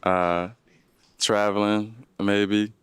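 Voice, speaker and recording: Male contestant, 30s, very deep and smooth voice, hesitant answers full of ums. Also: level tone, drawn-out words, dry recording. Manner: hesitant, many ums and uhs, searching for words. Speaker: dating show contestant. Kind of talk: unscripted answers on a dating show.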